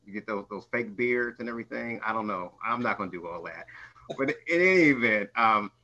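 An American man hosts informally over a video call. His voice is fairly low but bright and forceful, and the audio is slightly dull. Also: expressive, brisk. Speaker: event host. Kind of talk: informal hosting.

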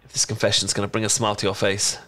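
A man's voice: on the low side, completely flat delivery, rapid-fire, pushed and bright. Bashful, stammering British chocolatier played by a man. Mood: tender, nervous. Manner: stammering, awkward, rambling, hesitant. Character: adorkable, bashful young male chocolatier confessing a crush. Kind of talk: intimate ASMR romance roleplay.

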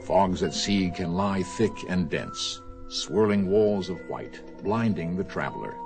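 Very slow, gravelly male narrator. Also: low voice, expressive. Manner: portentous. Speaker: program narrator. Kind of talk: slow, dramatic scripted radio narration. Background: music.

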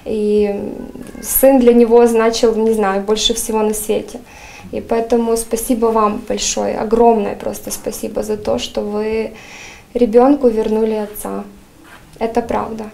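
Young woman, interview testimonial, dark low-key timbre, halting earnest delivery on a lapel mic. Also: level tone, gravelly. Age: young adult. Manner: earnest, hesitant with many fillers. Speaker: patient's wife giving a testimonial. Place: studio, plain dark backdrop.

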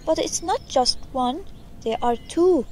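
Birdsong and noticeable background noise surround a high young voice. It is a woman playing an eager, tender-hearted little girl in a children's audio story, speaking earnestly and full of questions. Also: animated, measured pace, mellow. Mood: excited.

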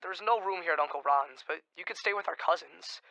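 A man's voice: on the high side, fairly quick, bright, forceful tone, no room sound, low-quality recording. An actor playing a pragmatic, persuasive older brother, a frank young man in a radio drama. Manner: frank, urging.

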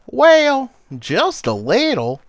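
A man voicing a shy, sad young female cricket who speaks timidly and haltingly, sometimes through tears. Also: very high voice, huge pitch swings, pitched above the performer's own voice, heavily acted.

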